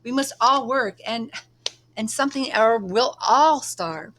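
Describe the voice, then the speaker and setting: Woman voicing a sharp, frustrated young working man. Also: fairly high pitch, unhurried, a little hiss or hum, pitched above the performer's own voice. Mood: angry, sharp. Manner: sharp, emphatic. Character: frustrated, mud-caked young male labourer. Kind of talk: children's book read-aloud.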